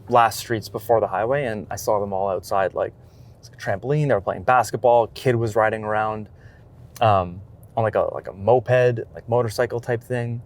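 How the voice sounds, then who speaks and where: A man chatting casually and informally as a documentary photographer on the road, in a loose, halting way. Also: lowish voice. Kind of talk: casual vlog chat while out shooting.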